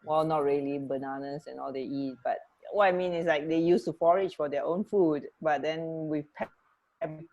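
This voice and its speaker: A woman's voice: low-pitched, fairly even intonation, normal speed, darkish tone, muffled recording. A woman co-hosting a casual radio chat, phrasing things a little hesitantly. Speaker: radio co-host.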